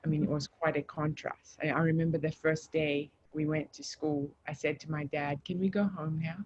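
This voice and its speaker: Low-voiced woman from Zambia. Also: level tone, normal speed, darkish tone, dull audio, a little hiss or hum. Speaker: guest. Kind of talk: casual live-stream conversation.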